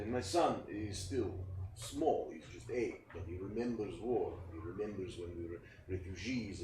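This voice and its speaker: A man's voice: medium pitch, darkish tone, close to an everyday voice. A man playing a blunt, war-weary Albanian film director in a staged play reading, plain-spoken with careful phrasing. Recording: echoey, distant, faintly noisy recording.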